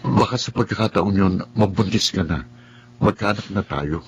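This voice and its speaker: An actor playing a gentle, devout husband in a radio drama, consoling and hopeful in a soft manner. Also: male voice, fairly low pitch, moderate pace, a little hiss or hum, lightly acted. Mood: hopeful coaxing. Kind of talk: Filipino radio drama acting.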